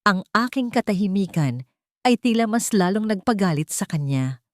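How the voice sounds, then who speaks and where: A woman narrating a scripted first-person drama in a measured, deliberate way. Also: on the low side, a little mellow, dry recording, clean studio sound. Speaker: story narrator.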